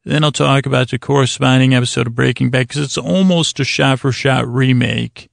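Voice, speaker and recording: A man hosting a bedtime podcast in a gravelly voice, rambling and hesitant, self-deprecating, wandering off into tangents. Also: mid-range voice, no echo, studio quality. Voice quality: gravelly, creaky. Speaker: podcast host.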